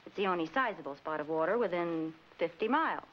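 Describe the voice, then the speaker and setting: An actress playing a shrewd, confident young woman in a 1940s comedy film, brisk and coy with slangy lines. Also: fairly low pitch, expressive, lightly acted. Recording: muffled, noisy old film soundtrack.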